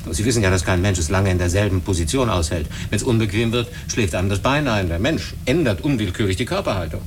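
Man acting a low, hesitant confiding crewman. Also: expressive, quick pace, close to an everyday voice. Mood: earnest, deliberate. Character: earnest, hesitant male crewman confiding a secret. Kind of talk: German radio drama acting. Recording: clear background ambient noise.

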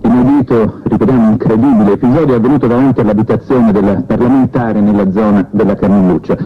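A man reads a 1970s radio news bulletin in a low voice. His delivery is grave, formal and deliberate. The sound is thin and band-limited, like an old broadcast. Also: narrow, tinny audio.

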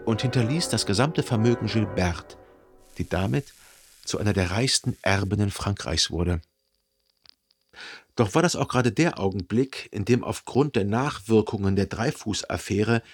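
An actor playing a reflective, observant gentleman who narrates his memories in a literary radio drama, measured and articulate, with a husky voice. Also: fairly low pitch.

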